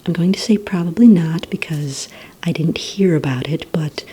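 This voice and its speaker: A woman whispering breathily in a soft-spoken ASMR roleplay as a polite, encouraging receptionist, asking questions in a gentle, hesitant, unhurried way. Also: low voice, slightly rough, rough recording. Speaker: ASMR roleplay performer as a villain recruitment receptionist.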